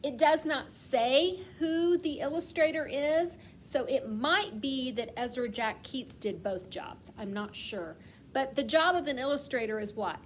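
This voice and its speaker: High-voiced woman reading to young children. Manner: asks questions and pauses as if children answer; expressive storytelling with slowed, emphasised words. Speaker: kindergarten teacher reading aloud. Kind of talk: picture-book read-aloud for young children. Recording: clear background noise.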